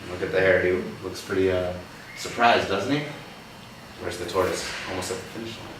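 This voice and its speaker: A man with a slightly low voice reads a children's story aloud, stopping often to coax answers from his young listeners. He sounds patient and encouraging. The recording comes from across a very echoey room, with a noticeable hiss of background noise. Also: steady tone, brisk, far from the mic. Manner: patient, coaxing, checks in with "right?". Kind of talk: picture-book read-aloud with questions.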